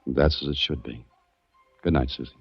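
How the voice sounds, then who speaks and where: An actor playing a calm, world-weary adventurer in a 1940s radio drama, with an understated, hard-boiled manner. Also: male voice, extremely low pitch, normal speed, gravelly, breathy edge, no room sound, faint music behind, full character voice. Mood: reflective. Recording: muffled old radio recording.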